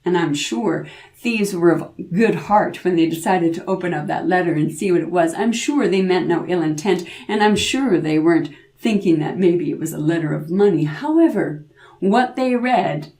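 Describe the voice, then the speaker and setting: A woman's voice: on the low side, animated, unhurried, warm tone. A woman storyteller narrating a folk tale dramatically, drawing out words and repeating phrases for effect.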